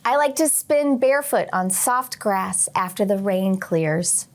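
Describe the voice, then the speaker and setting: A woman teacher reading a picture book aloud to young children expressively, pausing for short teaching asides. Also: medium pitch, expressive. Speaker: teacher and read-aloud host.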